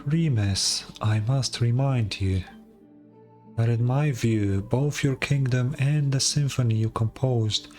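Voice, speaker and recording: An ancient dragon lord played by a man speaks in a stern, scornful manner. The delivery is deliberate and grandiose, like fantasy game dialogue, with faint music underneath. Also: mid-range voice, close to an everyday voice. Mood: grave reproach.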